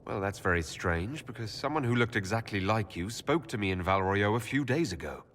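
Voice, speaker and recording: Casting: direct, guarded hero envoy for a fantasy game; low male voice, lively intonation, hall reverb. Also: a little fast. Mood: puzzled. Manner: questioning, firm, diplomatic.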